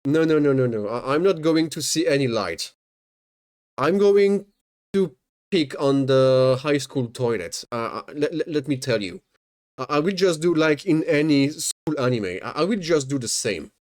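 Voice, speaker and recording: Sarcastic streamer man, higher voice. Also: expressive, normal speed, darkish tone. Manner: sarcastic, swears, jokes and mocks; stammers and repeats words. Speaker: streamer reacting to a video. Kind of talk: casual reaction commentary.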